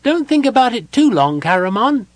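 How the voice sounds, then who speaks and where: A man voicing a small, excitable, guileless kender who blurts things out in a chatty, naive way. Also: very high-pitched, moderate pace, dry recording, pitched above the performer's own voice. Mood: guileless blurting.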